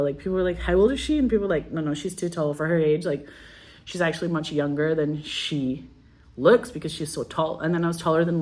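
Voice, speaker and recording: A woman's voice: sits low, a little mellow. A woman in her forties telling stories in a loose, chatty way, full of fillers, laughs and swearing. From Scotland. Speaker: filmmaker guest. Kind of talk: casual storytelling.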